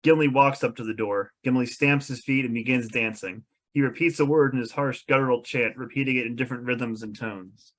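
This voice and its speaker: A man with a medium-pitched voice serves as narrator. He reads out scene headings and stage directions from a fantasy screenplay in a table-read setting. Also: fairly even intonation, a little fast, few pauses.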